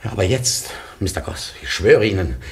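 A haunted, vengeful emigrant in a radio play. His lowish male voice swings widely in pitch, with intense, declamatory phrasing and a proud, brooding temper. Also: sing-song, normal speed. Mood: bitter, agitated. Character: embittered robbed emigrant jurist, proud, haunted, vengeful man. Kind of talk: German radio play acting.

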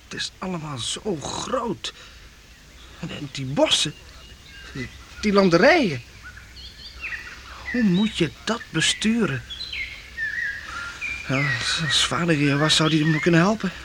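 A man acting an earnest, easily overwhelmed young castle heir in a radio drama, sometimes halting. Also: fairly high pitch, big pitch swings. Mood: anxious. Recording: noisy old radio play recording.

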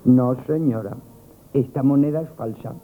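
An actor in a Spanish radio comedy playing a nervous, lovestruck young grocery lad, gushing and stammering with an accent from Spain. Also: male voice, mid-range voice, normal speed, phone-quality sound, slight background noise, natural voice. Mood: eager patter. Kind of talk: 1970s radio comic theatre acting. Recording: band-limited vintage radio broadcast.

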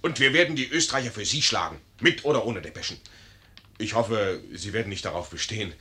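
An actor playing a brash, carefree, boastful young lieutenant in a 1950s German radio play. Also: male voice, fairly low pitch, lively intonation, fairly quick, pushed and bright. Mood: breezy, cheerful.

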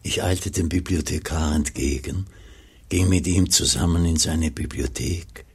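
Very deep, husky, solemn old monk. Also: male voice, full character voice. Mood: solemn, tender. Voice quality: very deep, gravelly, breathy. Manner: solemn, measured. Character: revered pious old monk, humble, grave, yearning. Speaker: actor and first-person narrator. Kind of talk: German radio play acting.

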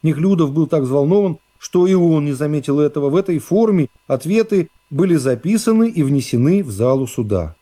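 Male narrator for literary radio drama; dark, soft-edged tone, scripted dramatic delivery. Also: on the high side, animated, brisk, few pauses. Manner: dramatic reading of classic prose.

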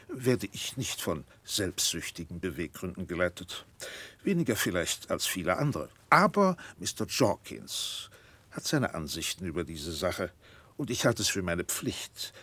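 An actor playing a stiff, courteous, evasive lawyer in a 1960s radio drama, speaking formally and pompously in drawn-out phrases. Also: male voice, sits low, expressive, pushed and bright, breathy edge, exaggerated voice. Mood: self-righteous, unyielding.

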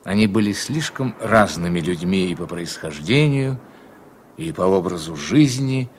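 A man's voice: low-pitched, expressive, a little slow. A man reading scripted dramatic narration as the narrator of a radio play. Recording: faint background noise.

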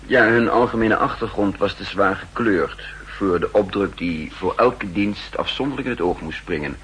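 An actor playing a shrewd, self-assured chief of counter-espionage in a 1960s radio drama, pedantic, dryly ironic and in command. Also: male voice, fairly low pitch, moderate pace, a bit bright, a little hiss or hum, close to an everyday voice, low-quality recording. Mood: assured, explaining. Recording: old 1960s radio recording.

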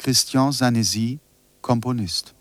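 A man playing a reflective narrator in a scripted radio drama, speaking in measured phrases. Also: medium pitch, fairly bright tone, no echo, lightly acted. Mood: quiet, concentrated. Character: reflective male narrator reciting essays and studio notes.

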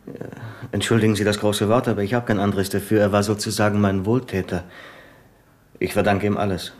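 An actor playing a humble, rattled secretary and war veteran who speaks haltingly, in a 1970s radio play. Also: male voice, on the low side, flat delivery, darkish tone, lightly acted. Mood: earnest. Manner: hesitant, halting, earnest.

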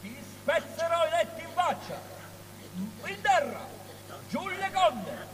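An actor playing a raving, abusive prophet, ranting and declaiming curses in a theatrical radio drama. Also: male voice, extremely high pitch, very animated, slow, over a noisy crowd, full character voice. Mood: furious. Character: raving prophet hurling vulgar insults and curses. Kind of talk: avant-garde theatrical radio drama acting. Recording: distant, long hall reverb, hum.

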